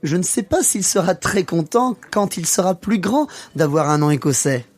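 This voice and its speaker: A man's voice: sits high, lively intonation, moderate pace. A man playing a genial, teasing French father in a scripted language-learning radio play.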